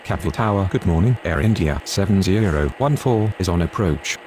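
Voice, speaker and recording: Casting: male actor playing a businesslike aviation radio man for a game, low register, clipped phrasing, slight background noise. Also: expressive, brisk, darkish tone. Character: male pilot and controller on airport radio, businesslike. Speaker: air traffic radio voice in a mobile game.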